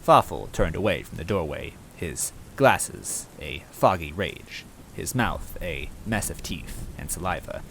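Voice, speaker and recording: A man reading a scripted comic story as narrator, with wry, deadpan asides. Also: low-pitched, unhurried, few pauses, poor audio. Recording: rain noise and game music behind.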